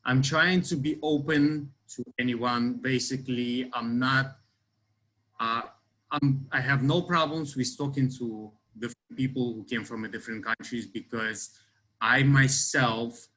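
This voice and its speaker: A man in his thirties with a Ukrainian accent talks as a union election candidate. His voice is medium in pitch with a bright, forceful edge. He is semi-formal and hesitant, taking a roundabout path through his sentences. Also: steady tone, a little slow, low-quality recording. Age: 30s. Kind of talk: campaign talk, semi-formal discussion.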